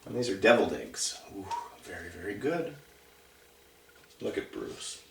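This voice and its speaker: A man's voice: fairly low pitch, big pitch swings, unhurried, vocal fry, background noise. A man reading a picture book aloud to young children, conversationally, with chatty asides and questions to the listeners. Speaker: storybook reader. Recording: roomy echo, distant mic.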